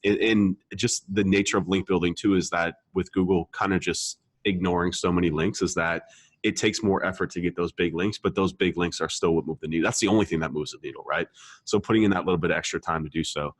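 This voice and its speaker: A deep-voiced man in a dry, close-sounding recording hosts a casual marketing conversation. He speaks emphatically, repeats himself to drive points home and often checks that the listener follows. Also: fairly even intonation, quick pace, breathy edge, no echo. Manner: emphatic, repetitive, casual swearing, frequent check-in tags. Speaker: podcast host, marketer.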